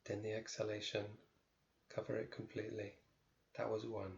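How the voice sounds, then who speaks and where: A man leads a guided meditation in a fairly low, even voice with little melodic movement. He speaks in short, measured phrases and leaves pauses between them. The recording is roomy and somewhat muffled. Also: gravelly, slight background noise. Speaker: meditation teacher.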